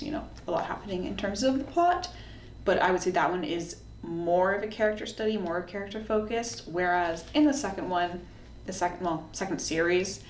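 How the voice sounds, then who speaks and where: A woman hosting a casual book review video, talking in a conversational, explanatory way. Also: fairly low pitch. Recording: echoey room with faint background noise.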